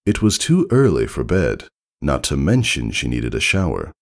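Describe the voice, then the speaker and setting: A man reading a story aloud as narrator, in an expressive, measured and dramatic storytelling manner. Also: a bass voice.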